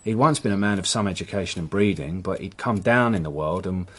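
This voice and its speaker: A man's voice: deep voice, fairly quick, breathy edge. A man playing a nervous petty crook and hapless henchman in a radio drama, with an English accent and a hesitant, self-excusing manner. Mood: storytelling. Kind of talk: British radio drama acting.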